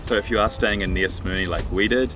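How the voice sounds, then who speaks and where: A man's voice: lowish voice, fast, music in the background. A man chatting casually as a travel vlogger, effusive and playfully florid in his phrasing. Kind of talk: casual travel vlog chat.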